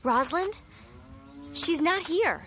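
A woman playing a feisty, bratty teenage cheerleader heroine in a video game cutscene, sassy and quick to insult. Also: very high voice, animated, background noise, full character voice. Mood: bewildered, sassy.

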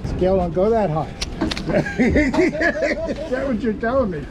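Slow-talking man bantering over loud boat noise. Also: sits high, lively intonation. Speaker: angler on a fishing trip. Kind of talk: casual chat and banter. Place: outdoors on a fishing boat at sea.

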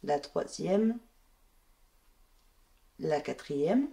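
A woman with a head cold gives a calm step-by-step crochet lesson, counting her stitches aloud as she works at a table. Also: low-pitched, drawn-out words, vocal fry. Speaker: crochet tutorial host. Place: indoors at a wooden table.

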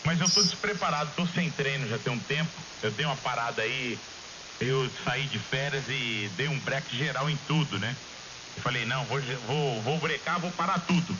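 A man's voice: medium pitch, moderate pace. Hissy radio, Brazilian man talking casually. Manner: colloquial storytelling, frequent tag questions. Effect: shortwave ham radio transmission. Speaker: amateur radio operator in a group contact. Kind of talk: casual chat over ham radio. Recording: dull shortwave radio with loud hiss.

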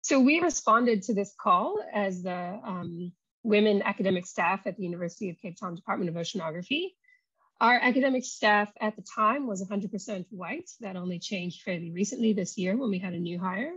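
A woman academic talks through her slides on a video call, unhurried and conversational, leaning on ums and you knows as she explains. Her voice is a little breathy and husky, at an ordinary pitch for a woman. The sound is dry and slightly dull, like a laptop call. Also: a bit bright, no room sound. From not South African. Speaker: university oceanography lecturer. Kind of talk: academic talk over slides.

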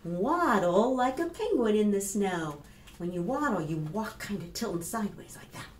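A woman in her fifties reads a picture book aloud to preschoolers, expressive and instructive, addressing the children directly. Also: medium pitch, moderate pace. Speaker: children's storytime librarian. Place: small plain room indoors. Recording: roomy echo, faint background noise.

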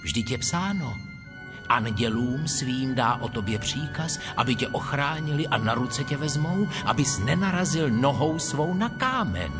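An actor playing a menacing, tempting demonic spirit in a dramatized Bible recording, with a theatrical, insinuating manner. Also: male voice, medium pitch, animated, lightly acted. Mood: seductive, taunting. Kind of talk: dramatized Bible audio acting. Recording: music under the voice.

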